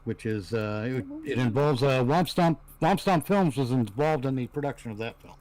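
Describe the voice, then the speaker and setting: Male livestream guest, medium pitch, casual, halting. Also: animated, darkish tone, rough recording. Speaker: livestream guest promoting own show. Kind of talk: casual chat.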